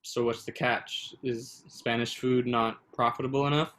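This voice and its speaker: An actor plays a nervous would-be small business owner in a play reading. He is a man with a medium-pitched voice, hesitant and evasive in how he talks. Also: little pitch movement, moderate pace, fairly bright tone, natural voice. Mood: evasive, uneasy. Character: nervous, evasive male aspiring food-truck owner.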